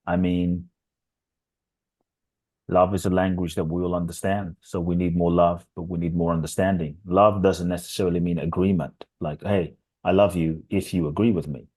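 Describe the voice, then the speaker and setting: A man's voice: low-pitched, little pitch movement, normal speed, darkish tone. A man speaking as a podcast guest, a transformational teacher giving earnest answers that build up through repetition. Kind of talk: reflective interview answers.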